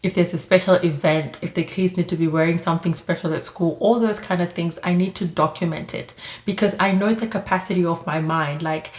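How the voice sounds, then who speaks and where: A woman, giving chatty advice in a casual monologue, full of "like" and "you know". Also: on the low side. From Zimbabwe. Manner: chatty, frequent "like" and "you know", light laughs. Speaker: vlogger giving immigrant advice. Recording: echoey room.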